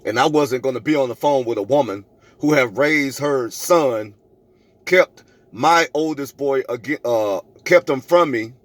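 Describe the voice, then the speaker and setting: A man's voice: medium pitch, measured pace, dry recording. Emphatic middle-aged man telling stories. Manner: emphatic, preachy, repeats phrases for effect. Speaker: vlogger telling a personal story. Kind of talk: animated storytelling to camera, re-enacting arguments.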